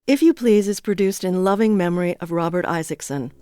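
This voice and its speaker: A woman's voice: fairly low pitch, fairly quick, warm tone, clean tone. A woman reading a scripted introduction as the host of a podcast series.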